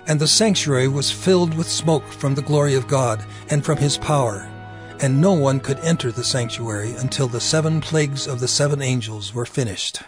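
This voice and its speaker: A man narrating dramatized scripture in a solemn, deliberate manner. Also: mid-range voice.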